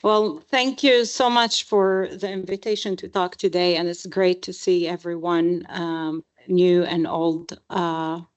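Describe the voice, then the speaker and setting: Unhurried woman, hesitant speaker. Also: on the low side, steady tone. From Lebanon. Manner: hesitant, frequent ums and uhs. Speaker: neurologist panelist. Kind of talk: conference panel talk.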